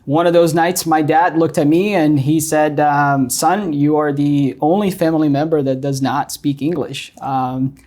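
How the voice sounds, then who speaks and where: A man's voice: fairly high pitch, fairly even intonation, unhurried, hardly any pauses, darkish tone. A middle-aged man from Brazil with a Brazilian Portuguese accent, a guest in an interview, telling his story earnestly with many ums. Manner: frequent ums and uhs, earnest.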